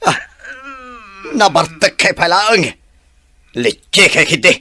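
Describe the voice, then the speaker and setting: A man acting the father in an audio drama, scolding in a gravelly voice. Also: sits high, lively intonation, slow pace, sharp tone.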